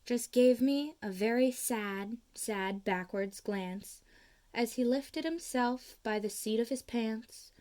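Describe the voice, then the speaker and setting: A woman reading a children's storybook aloud in an expressive style. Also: a little high, slow pace. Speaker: storybook reader.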